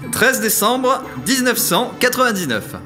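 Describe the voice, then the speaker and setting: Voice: medium pitch, lively intonation, unhurried, natural voice. A performer playing a frank, harried ensign who runs a time machine in a comic audio drama, blunt and informal. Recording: music behind the voice.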